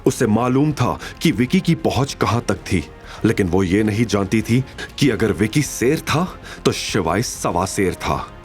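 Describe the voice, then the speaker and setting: A man narrates an audio drama in a fairly low voice. His scripted delivery is dramatic and lively in melody, with music playing behind him. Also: a little slow.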